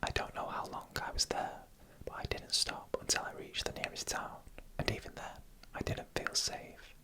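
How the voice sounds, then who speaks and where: A narrator reading eerie stories for ASMR in a breathy, unvoiced whisper, hushed and deliberate, phrase by phrase.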